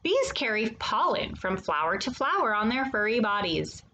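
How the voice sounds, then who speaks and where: A woman reading a children's nonfiction story aloud, addressing young listeners directly with questions and pauses. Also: a little high, big pitch swings, bright, forceful tone, highs cut off, a little hiss or hum.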